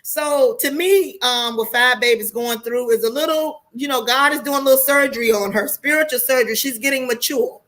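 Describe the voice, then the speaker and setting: A woman in her late forties with an American accent hosts a casual online monologue. Her voice is high-pitched and bright with a forceful edge. She talks straight to viewers in a candid, preachy, colloquial way and swears freely. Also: a little fast, few pauses, rough recording. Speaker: online show host talking to viewers.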